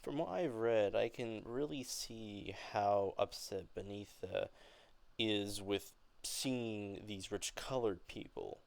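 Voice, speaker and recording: A young man giving an informal explanation in a hesitant, halting way, often rephrasing. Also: on the low side, gravelly, dry recording. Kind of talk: informal explanation of an acting reading.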